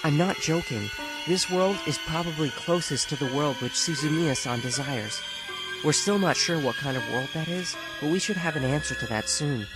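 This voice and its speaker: A man playing a calm, polite young man who now appears as a glowing spirit, speaking smoothly with a light teasing edge. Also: a little high, normal speed, natural voice, poor audio. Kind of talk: fan audiobook acting. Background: music.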